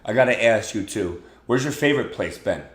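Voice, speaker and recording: A man's voice: lowish voice, lively intonation, a little fast, echoey room. A man hosting a podcast, asking questions casually and encouraging the guest with frequent backchannels. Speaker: podcast host. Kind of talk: interviewing, casual.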